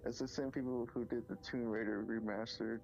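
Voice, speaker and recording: Muffled, gravelly young man chatting. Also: medium pitch, steady tone, fairly quick, darkish tone, soft background music. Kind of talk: casual gaming chat.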